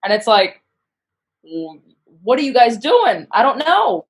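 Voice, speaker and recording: Animated, self-deprecating woman chatting. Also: on the high side, unhurried, poor audio. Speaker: podcast guest, actor and comedian. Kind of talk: casual interview chat.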